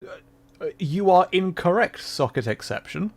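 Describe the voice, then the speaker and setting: A man's voice: high-pitched, sing-song, moderate pace, gravelly. A man talking casually and self-deprecatingly as he hosts a gaming livestream. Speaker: livestreamer, VTuber. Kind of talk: casual livestream chat while playing a game.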